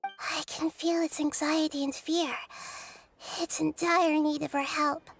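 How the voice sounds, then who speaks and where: Soft-spoken girlish goddess, high, calm, thoughtful, reassuring. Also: measured pace. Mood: gentle, thoughtful. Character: gentle young-looking girl goddess, wise and calm. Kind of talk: video game voice acting.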